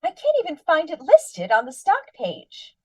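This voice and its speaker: A woman plays a needling, spendthrift wife in an old-time radio comedy, all mock sweetness. Her high voice leaps about expressively. She sounds a little back from the mic. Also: wildly swooping pitch, unhurried, distant mic, very noisy, full character voice. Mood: nagging. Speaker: radio play actress. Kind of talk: 1940s radio comedy acting.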